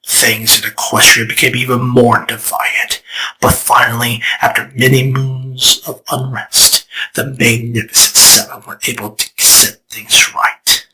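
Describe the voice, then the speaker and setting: A man's voice: medium pitch, sharp tone, slight background noise, full character voice, poor audio. A man voicing a scheming, theatrical male chaos spirit in a fan comic dub. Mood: solemn storytelling. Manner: dramatic, teasing, slow and deliberate. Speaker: storyteller figure.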